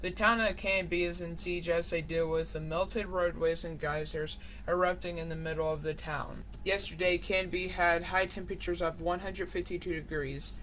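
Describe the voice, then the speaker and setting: A man's voice: sits high, steady tone, normal speed, hardly any pauses, a bit bright, a little hiss or hum, poor audio. A man playing a breaking-news anchor, reading a scripted disaster report in a formal, serious manner.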